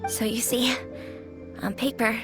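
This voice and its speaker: A woman's voice: fairly high pitch, steady tone, unhurried, natural voice. A woman voicing a friendly, chatty young restaurant keeper in a video game, with a confiding manner. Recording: music behind the voice.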